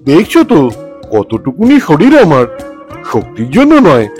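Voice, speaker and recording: A woman's voice: sits low, huge pitch swings, normal speed, darkish tone, voice pushed down. A woman voicing a small, timid but clever octopus king in a children's cartoon, flustered and protesting, with music underneath. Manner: flustered, protesting, comically anxious.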